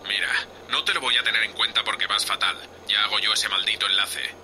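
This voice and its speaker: A man's voice: lowish voice, fairly even intonation, brisk, pushed and bright, slight background noise, low-quality recording. A man with a Spain Spanish accent playing a foul-mouthed, exasperated spaceship captain who scolds curtly in a sci-fi audio drama. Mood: irritated.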